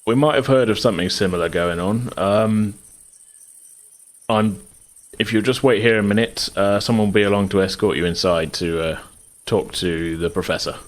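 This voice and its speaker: A man's voice: fairly low pitch, fairly even intonation, moderate pace, close to an everyday voice. A man playing a hesitant, evasive soldier on gate duty in a tabletop game, bumbling through his words. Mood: unsure, polite.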